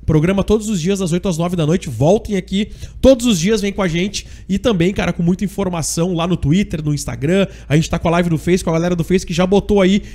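This is a man with a high-pitched voice and a gaúcho accent from southern Brazil. He hosts a live radio show casually and with plenty of slang, speaking into a studio microphone. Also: fast talker, warm tone. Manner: informal, slangy. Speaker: radio sports host. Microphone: studio microphone on a boom arm.

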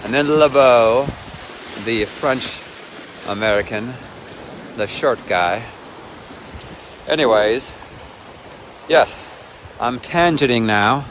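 A man's voice: mid-range voice, slow pace, long pauses. A man in his fifties, chatty and wandering, filming a cold outdoor vlog on a phone held at arm's length by a lakeshore. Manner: chatty, wandering, self-mocking. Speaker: vlogger and ministry leader. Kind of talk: casual rambling selfie vlog. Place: outdoors on a windy lakeshore with waves. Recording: wind and surf noise on phone audio. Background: waves and wind.

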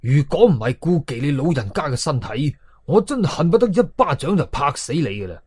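A stern Taoist exorcist, acted by a male storyteller, commanding and threatening, with a lively, high-set voice. He declaims his orders in a husky tone, as in a horror radio tale. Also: brisk, dry recording, studio quality. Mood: furious.

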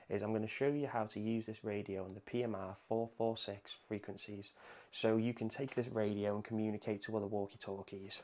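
A man's voice: fairly low pitch, a little mellow. A man presenting a casual hands-on tech tutorial, explaining things in an informal, conversational way. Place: indoor room at a home desk.

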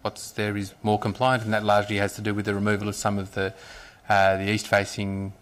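Halting male councillor at a desk mic. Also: fairly low pitch, monotone. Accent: Australian. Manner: halting, many fillers and restarts. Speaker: city councillor. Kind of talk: council debate speech. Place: large council chamber. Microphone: desk microphone.

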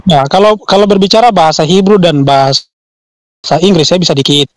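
A man's voice: sits high, fairly quick, no room sound. A man in a casual religious discussion on a video call, explaining himself plainly and often repeating his words. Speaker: debate participant.

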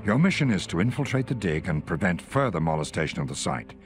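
An actor playing a grave, wry Allied intelligence officer giving a measured, authoritative mission briefing in a war video game. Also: male voice, low voice, very animated. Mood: businesslike, urgent. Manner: measured, authoritative, dryly contemptuous of the enemy. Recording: music under the voice.

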